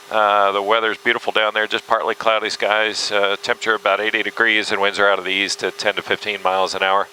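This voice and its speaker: A male airline captain makes cabin announcements in a lowish, forceful voice with frequent hesitations. He speaks quickly over the PA, with faint aircraft noise behind him. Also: rough recording.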